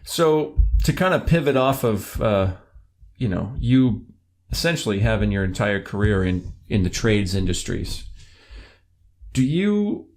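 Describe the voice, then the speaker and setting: A man in his thirties with a fairly low voice hosts a casual podcast. He is easygoing and lets his questions ramble on before he reaches the point. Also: sing-song, measured pace, darkish tone. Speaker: podcast host. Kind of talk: casual chat.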